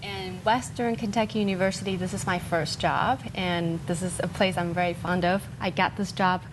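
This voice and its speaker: A woman's voice: fairly low pitch, steady tone, moderate pace, noisy background. Woman violin teacher, friendly answers. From Taiwan. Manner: friendly, direct answers with "uh" fillers. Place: TV studio.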